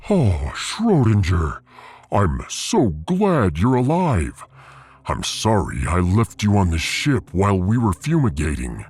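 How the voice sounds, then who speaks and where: A man's voice: deep voice, wildly swooping pitch, slow, a little mellow, breathy edge, voice pushed down, exaggerated voice. A man playing an earnest, melodramatic starship lieutenant who dotes on a pet cat, in a sci-fi audio drama. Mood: tearful relief. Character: emotional, doting cat-owning starship lieutenant.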